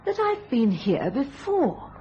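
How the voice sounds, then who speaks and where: An actress playing a haunted, pleading wife in a radio thriller, with an earnest and dreamy dramatic delivery. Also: female voice, high-pitched, huge pitch swings, slow, dark tone, lo-fi sound, a little hiss or hum, heavily acted. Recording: radio drama with music behind.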